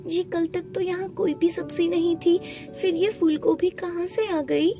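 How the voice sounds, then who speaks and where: A woman voicing a sorrowful, meek village wife and mother in an animated storybook tale, plaintive and deferential. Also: very high-pitched, unhurried, pitched above the performer's own voice. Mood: puzzled, meek. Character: grieving poor young mother, devoted timid wife. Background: background music.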